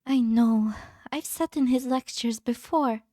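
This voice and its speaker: A woman voicing a gentle, idealistic, serenely self-righteous scientist in an amateur game dub, speaking softly and formally. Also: a little high, a little slow, no room sound, studio quality, close to an everyday voice. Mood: polite. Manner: soft-spoken, earnest, formal. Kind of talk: scripted anime-game fan dub acting.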